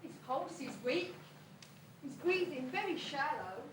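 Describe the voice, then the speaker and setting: A woman playing a naive, puzzled fairy in an amateur pantomime, speaking quick, questioning rhymed lines. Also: sits high, animated. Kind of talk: amateur pantomime rhyming dialogue. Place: school hall stage, far from the microphone. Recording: distant echoey hall, noisy old tape.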